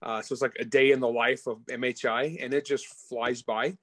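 A man's voice: medium pitch, steady tone, brisk, fairly bright tone, no echo. Casual man chatting in a dry, close sound. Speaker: author being interviewed. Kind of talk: casual interview chat about their own books.

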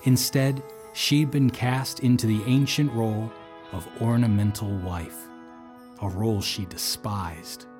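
A man as a measured manservant narrator. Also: on the low side, faint music behind, close to an everyday voice. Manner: measured, even storytelling. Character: male aide and manservant narrating his tale. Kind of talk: audio drama narration.

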